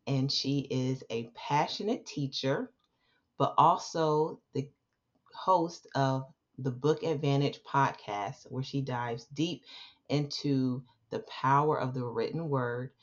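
A woman's voice: extremely low pitch, slow. A woman hosting a podcast, chatting with a friendly, upbeat manner as she welcomes and interviews a guest. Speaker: podcast host. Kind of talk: casual interview chat. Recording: dull, lo-fi podcast audio.

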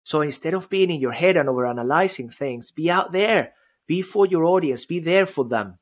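Energetic Venezuelan-accented man giving speaking tips. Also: fairly high pitch, moderate pace. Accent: Venezuelan Spanish. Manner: energetic, emphatic, stacks repeated phrases. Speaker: podcast co-host. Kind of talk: motivational speaking tips on a live audio show.

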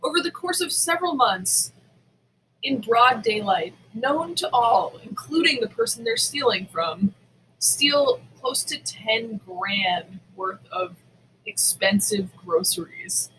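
A woman with a bright, forceful voice talks casually as a podcast co-host. She is opinionated and indignant, leaning hard on repeated words and swearing freely. There is faint noise behind her. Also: on the high side, animated, slow pace, poor audio.

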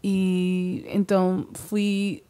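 A woman in her thirties from Montreal, a singer being interviewed, speaking in a soft, measured way. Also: fairly low pitch, monotone, clear, resonant tone. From Montreal, Canada. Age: 30s. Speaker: guest singer. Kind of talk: interview conversation.

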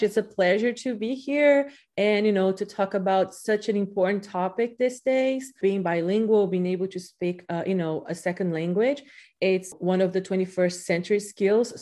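A woman's voice: medium pitch, darkish tone. A woman in her thirties, born in Brazil with Portuguese as her first language, chatting casually as a podcast guest about teaching, with lots of "you know" fillers. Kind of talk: casual interview talk.

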